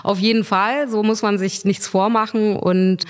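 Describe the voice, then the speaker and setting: A woman's voice: medium pitch, steady tone, moderate pace, long reverb tail. A woman, a musician talking casually in an interview, wry and chatty, with many fillers and frequent laughs. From Berlin, Germany.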